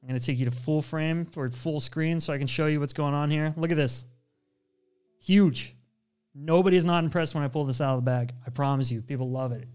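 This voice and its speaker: Young man, upbeat, slangy, chatty, fairly high voice, smooth and clear, close studio mic, live tutorial stream. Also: fairly even intonation, fairly quick, darkish tone. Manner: upbeat, slangy, chatty, reads chat aloud. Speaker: photographer hosting a live editing stream. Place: home studio with brick wall, indoors. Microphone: studio mic on boom arm, headphones.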